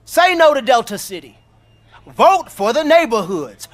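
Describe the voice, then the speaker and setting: An actor playing an indignant, combative crusading politician in a video game, declaiming like a stump speech. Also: male voice, very high-pitched, very animated, a little slow, heavily acted. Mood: rousing. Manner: declamatory, impassioned.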